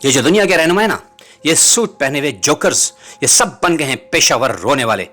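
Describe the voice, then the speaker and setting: A man's voice: on the high side, expressive, moderate pace, pushed and bright. A man reading scripted political commentary as a host, in a rhetorical, bitingly sarcastic manner. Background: music.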